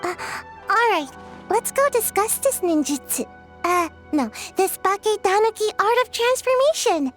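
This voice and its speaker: Little ninja girl for a game, super high voice, drowsy n reluctant, drags her words. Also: lively intonation, unhurried, fairly bright tone, faint music behind, heavily acted. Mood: hesitant, reluctant. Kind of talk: scripted video game dialogue.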